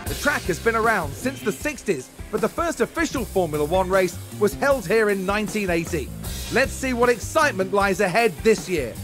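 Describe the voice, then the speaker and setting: A man's voice: sits high, expressive, moderate pace, over music. A man voicing a polished TV race commentator in a racing game, in scripted broadcast style. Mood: measured.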